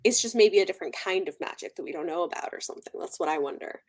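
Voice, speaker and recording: A woman in an informal book chat, thinking out loud and offering theories in a speculative way. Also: on the low side, lively intonation, very fast. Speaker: book discussion co-host. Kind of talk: casual book-club chat.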